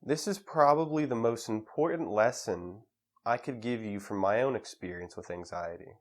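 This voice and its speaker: A man leading a guided meditation in a calm, measured way with pauses between phrases. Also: lowish voice.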